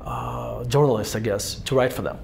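A man's voice: mid-range voice, steady tone, moderate pace, vocal fry, a little mellow, slight background noise. A man in his forties with a Russian accent, speaking as a guest at a fireside chat in a synagogue hall, telling rambling self-deprecating stories full of restarts. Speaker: author and guest. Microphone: handheld microphone.

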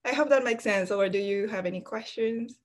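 A woman's voice: mid-range voice, a little fast. A young woman from Okinawa with a Japanese accent, speaking English on a video call as an interview guest, thoughtful and hesitant with many fillers. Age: young adult. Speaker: guest, graduate student. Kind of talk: casual interview talk. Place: apartment room, indoors.

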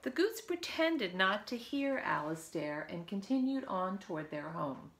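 Woman, fifties, medium pitch, creaky edge, children's read-aloud, expressive, chatty asides, home recording. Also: unhurried. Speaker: teacher reading a picture book to children. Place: living room at home, by a window.

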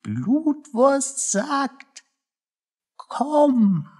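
A woman playing a childlike, muddled village fool in a radio play, babbling in disjointed, drawn-out singsong. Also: high voice, big pitch swings, darkish tone, heavily acted. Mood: dreamy, muddled. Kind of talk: German radio play acting.

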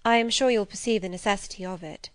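A woman's voice: medium pitch, fast talker, clean tone, no echo, natural voice. A woman voicing a polite but firm young governess in a dramatised classic novel, respectful, composed and formal.

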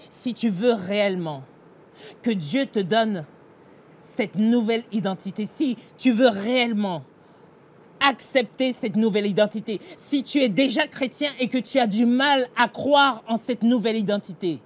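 Middle-aged pastor guy, fairly high voice, talks real slow and earnest, straight to camera in a small room. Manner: earnest, direct address to the listener, emphatic gestures. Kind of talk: daily devotional meditation and prayer. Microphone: facing a fixed camera, mid-shot.

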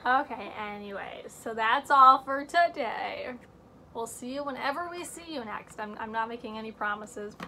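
A high-pitched teenage girl chats and jokes through her home vlog, dropping to hushed whispers as she holds things up to the camera. She is recorded close on a built-in computer mic, with faint background noise. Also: normal speed. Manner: chatty, joking, self-mocking asides. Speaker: vlog host. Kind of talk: casual chat. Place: indoor home room with shelves.

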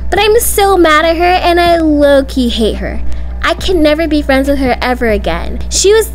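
A woman's voice: sits high, animated, normal speed, low-quality recording. A young woman doing amateur roleplay voice acting of school drama, with slangy, dramatic teen-girl dialogue. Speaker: video creator voicing all characters of a school roleplay story. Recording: music behind the voice. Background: background music.

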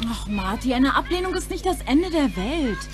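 An actress playing a supportive teenage girl in a German radio play, gentle and encouraging in manner. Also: high voice, very animated, normal speed.

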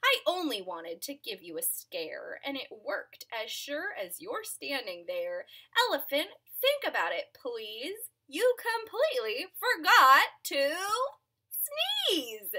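A woman's voice: high voice, huge pitch swings, slow, sharp tone, voice pushed up, heavily acted. A woman voicing a tiny, cheeky gray mouse who is playful and well-meaning, in a teasing storybook style. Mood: playful, coaxing.

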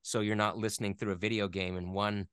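A man in a casual interview, explaining things in a halting, conversational way as a guest expert. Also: on the low side, level tone, fairly bright tone, clear, resonant tone, dry recording. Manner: halting, filler-heavy explaining with playful sound imitations. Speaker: interview guest, game audio editor and voice actor.

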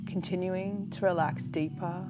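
A woman leads a guided relaxation meditation in a gentle, calm, unhurried way, leaving long pauses between phrases. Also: fairly low pitch. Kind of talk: guided pregnancy relaxation meditation, spoken slowly and soothingly. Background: background music.

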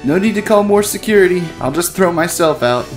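A man's voice: high-pitched, lively intonation, brisk, music in the background. A man voicing a self-pitying, sardonic young male pony in a cartoon comic dub. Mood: frantic, cheeky. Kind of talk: comic dub, dramatic monologue and dialogue.

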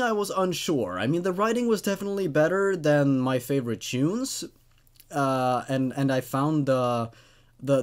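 A man in his twenties hosting as a reader and reviewer of horror stories, moving between dramatic reading and casual commentary. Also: on the high side. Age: 20s.

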